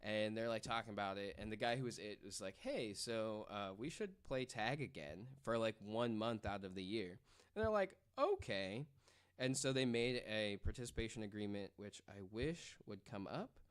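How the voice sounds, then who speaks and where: A man's voice: fairly low pitch, animated, moderate pace, clean tone. A man telling a story casually as a podcast guest, in loose, informal wording.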